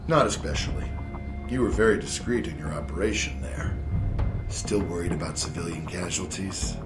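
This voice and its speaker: An actor playing a smooth, calculating middle-aged interrogator in a video game, speaking in a measured, formal, probing way. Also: male voice, deep voice, natural voice. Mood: calm, probing. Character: smooth, calculating male interrogator in a dark suit. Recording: echoey room, music behind.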